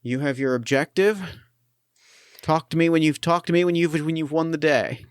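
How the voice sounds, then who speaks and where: A commanding male captain barking orders to his pilot in a tabletop game, as a man plays him. His delivery is clipped and firm, with a smooth, clean voice. The sound is dry. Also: a little high, normal speed, no room sound, natural voice. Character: stern male military captain over the radio.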